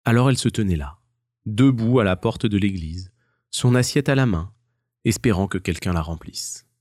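A man narrating a scripted children's story as an expressive storyteller. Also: lowish voice, moderate pace, no echo, clean studio sound.

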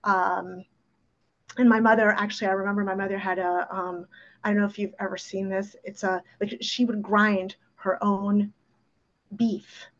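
A woman's voice: medium pitch, level tone, normal speed, dull audio. A woman in her fifties with an American accent, a native Ukrainian speaker, telling personal stories casually with conversational asides. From New York City, USA. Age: 50s. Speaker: podcast guest.

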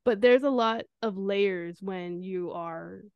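A woman's voice: mid-range voice, slow, darkish tone, smooth, no room sound. A woman in her twenties co-hosting a podcast, talking reflectively with lots of fillers and asking questions. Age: 20s. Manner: reflective, many fillers, asks the other host questions. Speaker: podcast co-host. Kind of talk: casual chat.

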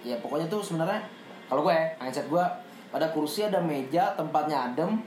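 A man's voice: on the high side, animated, a little fast, darkish tone, a little hiss or hum. A man hosting a podcast, chatting casually in slang and prompting his guest with questions. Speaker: podcast host. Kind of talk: casual interview chat. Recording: echoey room, faint music.

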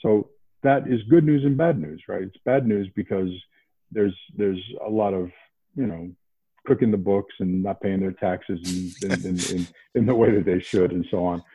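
A man from around Boston, a podcast guest, talking in a casual animated way with many restarts. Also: sits low, normal speed, breathy edge, no echo. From New England, outside Boston. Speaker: podcast guest, investment banker. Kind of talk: casual interview talk. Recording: tinny phone-like line.

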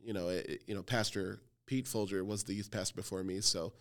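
A low-voiced man in his thirties, smooth and clear, chatting easily as a youth pastor on a church podcast. He talks loosely and warmly about himself, full of little fillers and wry self-deprecating asides. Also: steady tone, a little fast. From Cleveland, Ohio. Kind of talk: casual podcast conversation.

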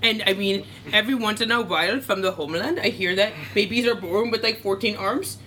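A woman's voice: mid-range voice, a little fast, a bit bright, a little hiss or hum, natural voice, rough recording. A woman voicing a chatty Indian-American woman from New Jersey, speaking in an Indian accent with broken-English phrasing. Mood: giddy. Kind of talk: improv character interview.

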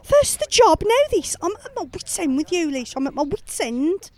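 A woman's voice: extremely high pitch, very animated, normal speed, a little mellow. A woman playing a nagging, exasperated middle-aged mother in a fan-made audio drama. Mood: scolding. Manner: scolding, colloquial.

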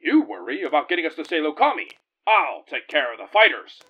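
A man's voice: a little high, very animated, a little fast, dull audio. A man playing a bold, gallant space captain and vigilante hero in an audio drama, speaking in decisive, heroic commands. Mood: combative.

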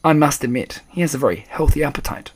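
Man voicing a calm, frosty old grandmother. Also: a little high, quick pace, lightly acted. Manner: calm, matter-of-fact, unflustered.

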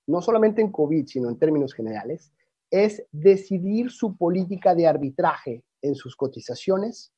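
A man's voice: a little high, mellow, breathy edge, no room sound, highs cut off. Man w/ portuguese accent explaining stuff on a video call, restarts a lot, dry dead sound. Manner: explanatory, with frequent restarts and fillers. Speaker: arbitration lecturer. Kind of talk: webinar presentation.

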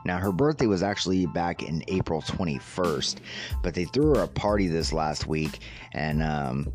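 A man hosting a casual solo podcast about news stories, with a slightly raspy voice and a halting, amused way of talking. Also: deep voice, animated, a little mellow, faint music behind. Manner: halting, pausing mid-sentence; amused, chuckling as he talks. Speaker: podcast host.

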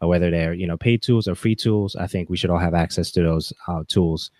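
Low-voiced man in his forties, podcast guest. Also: a little fast, a little mellow, dry recording. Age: 40s. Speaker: podcast guest, gaming content creator. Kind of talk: reflective interview talk.

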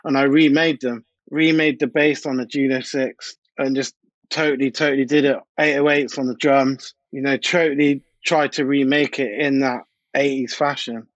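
Male DJ-producer, informal anecdotal interview chat, mid-pitched, close dry but slightly dull sound. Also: fairly even intonation, normal speed, no echo. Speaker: DJ and music producer being interviewed.

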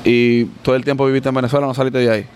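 A man's voice: medium pitch, little pitch movement, racing, a little mellow, slight background noise. A man hosting a casual podcast, who teases and jokes with his guests as he questions them. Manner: teasing, joking interviewer. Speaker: podcast host.

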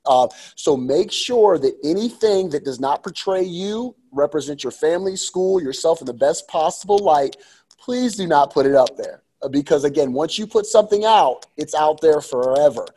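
A man's voice: a little high, expressive, normal speed, mellow, husky. A man in his forties speaking as a guest coach in a casual interview, enthusiastic and emphatic, repeating phrases to drive them home. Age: 40s.

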